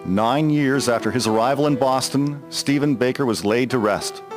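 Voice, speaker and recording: A man's voice: mid-range voice, normal speed. A man narrating a scripted historical story as its storyteller. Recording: music behind the voice. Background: guitar music.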